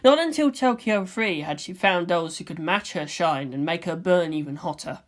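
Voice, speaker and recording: A woman's voice: on the low side, expressive, normal speed. A woman narrator reading fan fiction as scripted storytelling.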